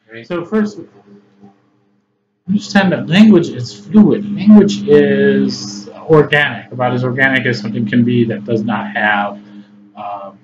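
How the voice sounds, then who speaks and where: Chatty Chicago man explaining to camera. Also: mid-range voice. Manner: conversational, frequent "right?" and "okay?" check-ins, many ums. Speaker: English tutor. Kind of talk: informal lecture to camera. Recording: slightly dull lo-fi audio with faint noise.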